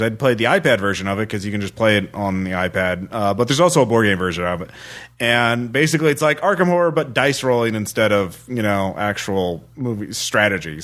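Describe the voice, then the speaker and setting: A man hosting a podcast, chatting casually about games in a rambling, halting way. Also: lowish voice, fairly bright tone. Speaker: podcast host.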